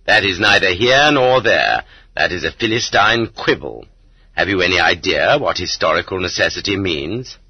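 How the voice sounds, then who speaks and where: A man's voice: sits low, lively intonation, moderate pace, husky, no room sound, muffled recording, exaggerated voice. A man voicing a smug, dogmatic Marxist historian who lectures in a condescending way in an audiobook. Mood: smugly dismissive. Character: smug, pedantic educated male Marxist historian, dogmatically dismissive.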